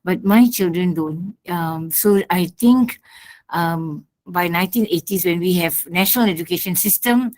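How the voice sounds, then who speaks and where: Woman in her sixties, low-ish voice, student who speaks up in class, halting and full of fillers. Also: a little mellow. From Singapore. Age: 60s.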